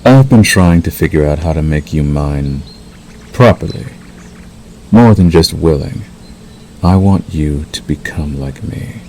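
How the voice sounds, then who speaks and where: Casting: male actor as possessive werewolf suitor for ASMR roleplay; extremely low, gravelly growl, seductive menace. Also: a little mellow, full character voice. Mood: possessive coaxing. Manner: slow, deliberate, coaxing yet threatening. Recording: faint background noise.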